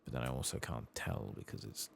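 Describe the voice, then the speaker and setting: A British man giving soft-spoken, casual ASMR game commentary in a hushed voice, muttering to himself close to the mic. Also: a bass voice, fairly quick, vocal fry, a little hiss or hum. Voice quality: soft, hushed, at times whispered.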